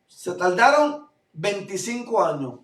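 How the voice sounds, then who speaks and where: A male pastor from Puerto Rico with a Puerto Rican accent, preaching a fervent sermon that swings between loud declarations and low asides. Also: big pitch swings, slow. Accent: Puerto Rican Spanish. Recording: echoey room, voice from far off mic.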